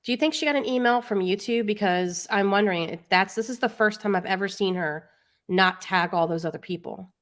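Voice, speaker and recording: A woman's voice: mid-range voice, a little fast. Matter-of-fact woman co-host. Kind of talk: casual livestream commentary.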